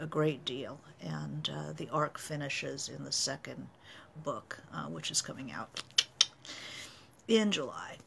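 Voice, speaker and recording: Middle-aged novelist, casual webcam talk from a study; bright, slightly gravelly voice, thinks aloud. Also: female voice, low-pitched. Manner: thoughtful, gestures a lot, many fillers. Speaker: novelist answering writing questions. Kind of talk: casual live-stream talk. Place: home study with bookshelves.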